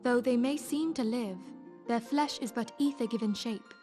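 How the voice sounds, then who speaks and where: A woman's voice: a little high, normal speed, lightly acted. An actress playing a composed, authoritative female leader in a fantasy game, explaining things formally and with assurance. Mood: measured, explanatory. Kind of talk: theatrical fantasy game dialogue. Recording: loud music under the voice.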